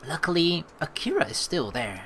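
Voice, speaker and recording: A man's voice: fairly high pitch, huge pitch swings, normal speed, sharp tone, husky. A man hosting a let's play, mixing read-aloud narration with rambling, profane casual commentary. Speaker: let's play host reading game text aloud.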